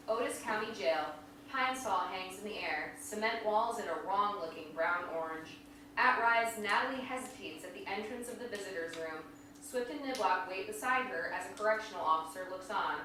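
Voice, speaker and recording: Woman narrator reading out a play, crisp bright sound, really echoey hall. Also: mid-range voice, normal speed. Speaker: stage directions reader. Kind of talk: scripted narration. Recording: echoey hall, distant mic, faint noise.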